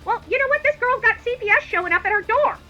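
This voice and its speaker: Woman, livestream host, extremely bright piercing tone, very high pitch, indignant rant, blunt, emphatic, combative. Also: animated, quick pace, highs cut off, faint music behind.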